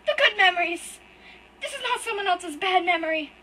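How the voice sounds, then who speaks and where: Sobbing, high-pitched furry boy read by a woman, loud and shrill, raw betrayal. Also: animated, brisk, recorded from a distance, heavily acted, poor audio. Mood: sobbing anguish. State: crying.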